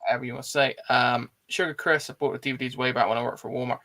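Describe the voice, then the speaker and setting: A man's voice: mid-range voice, steady tone, rapid-fire, sharp tone. A man, hosting a casual film chat and reading out live comments in an informal, blokey way. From Bristol, England. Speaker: host of a film discussion livestream.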